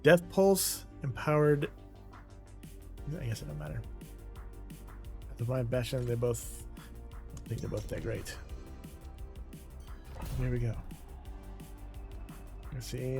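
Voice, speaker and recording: A man's voice: mid-range voice, big pitch swings, slow pace, vocal fry, pauses often, over music. A man in his thirties, a streamer in casual chat while gaming, laid-back, laughing often and trailing off mid-sentence.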